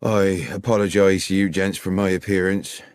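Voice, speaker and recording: A man's voice: low voice, little pitch movement, moderate pace, dry recording, lightly acted. A man voicing an amiable, deferential railway foreman in his fifties, plain-spoken and respectful, for an audiobook. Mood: weary, apologetic. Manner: plain-spoken, respectful, working-class turns of phrase.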